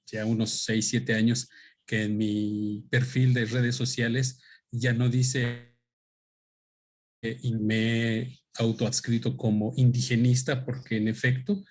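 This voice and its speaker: A man speaks into a microphone at a book presentation. His pitch is mid-range for a man, and he talks in a thoughtful, halting way, often pausing and restarting his sentences. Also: level tone, darkish tone. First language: Spanish. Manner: thoughtful, hesitant, with many fillers and restarts. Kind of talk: unscripted talk at a book presentation.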